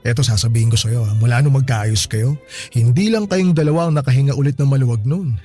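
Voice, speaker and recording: Guy acting friendly filipino boss in a radio drama, bit husky, chatty, good humored, faint music behind. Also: medium pitch, quick pace, mellow, close to an everyday voice. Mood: warm, chatty. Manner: chatty, chuckling between remarks.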